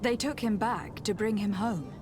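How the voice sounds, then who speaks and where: A woman's voice: mid-range voice, moderate pace, over music, natural voice. A young woman playing a courteous, composed noble envoy in a video game, speaking formally and asking probing questions. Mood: thoughtful. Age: young adult. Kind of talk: dramatic game dialogue.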